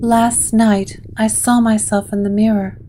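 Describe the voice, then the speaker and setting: A woman voicing a frightened, hesitant young woman in a gothic audio drama, halting and anxious, with music behind. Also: a little high, flat delivery, background noise, close to an everyday voice.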